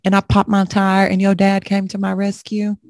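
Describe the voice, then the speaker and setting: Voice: mid-range voice, completely flat delivery, normal speed, dry recording, clean studio sound. A young adult podcast co-host telling stories in casual, profane chat, laughing often. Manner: profane, laughing, slangy storytelling.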